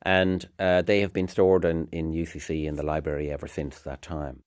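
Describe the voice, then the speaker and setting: Very deep-voiced man, reflective. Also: little pitch movement, normal speed, a little mellow, no echo, clean studio sound. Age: middle-aged. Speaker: university music academic. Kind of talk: documentary interview.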